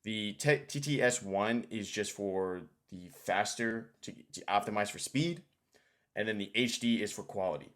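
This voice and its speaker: A man's voice: lowish voice, normal speed, a bit bright. A man hosting a casual screen-share tutorial, informal and thinking aloud as he goes. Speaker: tutorial host.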